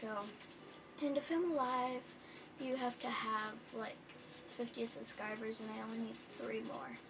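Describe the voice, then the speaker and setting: Young girl gaming vlogger, high voice, noisy phone recording in a dim bedroom. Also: fairly even intonation. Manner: chatty, reads game letters aloud haltingly. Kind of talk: casual gameplay chat.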